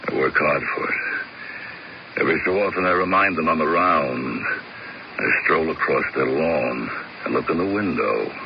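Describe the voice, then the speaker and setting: An actor playing a big, blunt, cocky hired killer with a gravelly voice and offhand folksy talk, in a 1970s radio drama. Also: male voice, extremely low pitch, exaggerated voice. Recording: dull, noisy 1970s radio recording.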